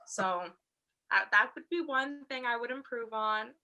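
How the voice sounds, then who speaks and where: A woman's voice: on the high side, unhurried, sharp tone. A young woman giving informal, candid feedback as a guest judge on a video call. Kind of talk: casual spoken critique.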